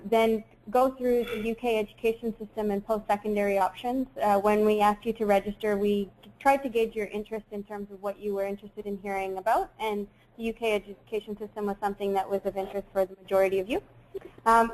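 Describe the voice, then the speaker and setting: A young woman in her twenties presenting an online webinar, friendly and quick, with frequent ums. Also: medium pitch, darkish tone, a little hiss or hum, low-quality recording. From Saudi Arabia. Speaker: webinar host.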